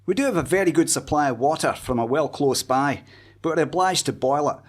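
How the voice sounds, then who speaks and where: An actor playing a quarantined emigrant who plaintively recounts camp hardships, as if reading a letter, in a radio play. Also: male voice, on the high side, fairly quick, natural voice. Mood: complaining. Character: quarantined emigrant man writing home, weary and complaining. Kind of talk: amateur radio play acting.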